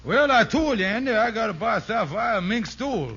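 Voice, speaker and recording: An actor playing a blustering, wisecracking henpecked husband with folksy grammar and a grumbling manner in a 1950s radio comedy. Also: male voice, high-pitched, animated, brisk, sharp tone, breathy edge, heavily acted. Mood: scheming. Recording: muffled, very noisy old radio recording.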